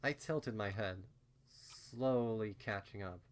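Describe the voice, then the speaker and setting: A man's voice: on the low side, slow, a little hiss or hum. A man reading fan fiction aloud as a first-person story narration.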